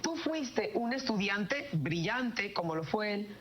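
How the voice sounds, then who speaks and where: A female interviewer puts a question to her guest at a middle pitch. The clip sounds muffled, with a faint hiss. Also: expressive, takes it slowly. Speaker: television interviewer.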